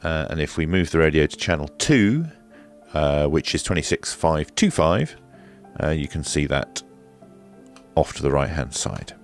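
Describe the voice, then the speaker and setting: Guy with a really deep voice doing a slow casual product walkthrough, step by step, bit hesitant. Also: lively intonation, faint music behind. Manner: hesitant, methodical step-by-step explaining. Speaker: radio reviewer and presenter. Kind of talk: casual hands-on product demonstration.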